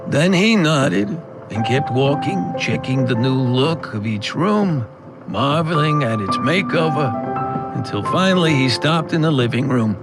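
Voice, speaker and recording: Slow, expressive male drama narrator. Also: medium pitch, over loud music. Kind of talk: scripted audio drama narration in first person.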